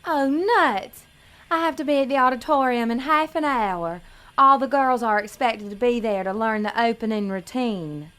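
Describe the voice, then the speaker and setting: A woman's voice: on the high side, normal speed, a bit bright, dry recording, natural voice. A woman playing an innocent, insecure young pageant contestant with a Southern US accent, sweet and earnest, in an audio comedy. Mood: eager, hesitant. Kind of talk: audio comedy drama acting.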